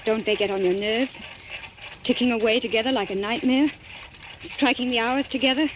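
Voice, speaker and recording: A woman's voice: on the high side, steady tone, moderate pace, natural voice. Woman acting a guarded, proud heroine on hissy old radio. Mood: guarded, uneasy. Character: guarded, proud, desperate young woman being blackmailed. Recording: old radio recording with background hiss.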